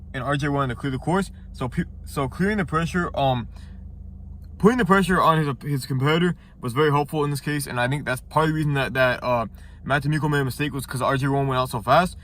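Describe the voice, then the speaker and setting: Fast-talking congested young man. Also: medium pitch, a bit bright, slight background noise. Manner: rambling, many restarts and fillers. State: congested, nasal. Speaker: ninja competitor reviewing episodes. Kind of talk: casual commentary review.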